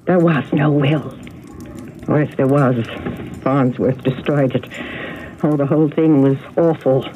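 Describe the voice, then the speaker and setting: Genteel elderly spinster, tinny old radio. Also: female voice, rumbling low, fairly even intonation, unhurried, slight background noise. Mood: wistful, bitter. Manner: gracious, emotional storytelling. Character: elderly genteel spinster, hospitable yet haunted and bitter. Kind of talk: radio drama acting.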